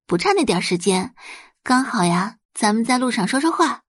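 A woman's voice: a little high, big pitch swings, moderate pace, breathy edge, no echo, clean studio sound. A woman voicing a sweet, solicitous teenage schoolgirl from a rich family, with a gushing friendly manner, in an audio drama. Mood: sweetly friendly.

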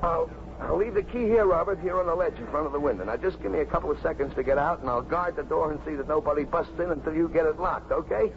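An actor playing a harried, earnest police officer in a 1950s radio drama, urgent, insistent and given to stammering. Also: male voice, on the high side, fast talker, lightly acted. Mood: urgent, bossy. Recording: muffled, hissy 1950s radio broadcast.

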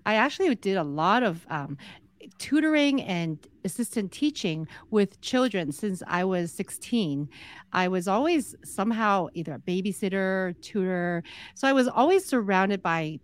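A soft-spoken woman in her forties, a guest explaining her views in a measured, easygoing interview. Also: medium pitch. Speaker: podcast guest, entrepreneur. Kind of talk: casual interview conversation.

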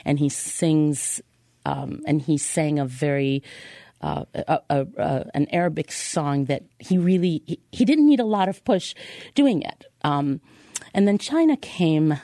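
A woman telling a personal story as a podcast guest, halting at times and repeating words for emphasis. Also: low-pitched, lively intonation, warm tone, no echo, studio quality.

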